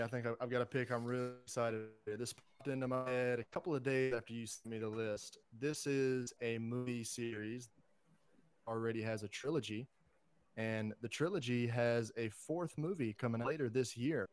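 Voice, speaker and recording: A man talking informally and conversationally as a podcast panelist, pitching his picks in a casual, enthusiastic pop-culture chat. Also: steady tone, brisk, smooth.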